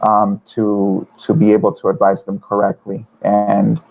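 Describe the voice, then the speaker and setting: A man from Venezuela in his thirties, a financial advisor talking haltingly with many restarts in a live webinar interview. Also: fairly low pitch, monotone, gravelly. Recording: dull lo-fi webinar audio.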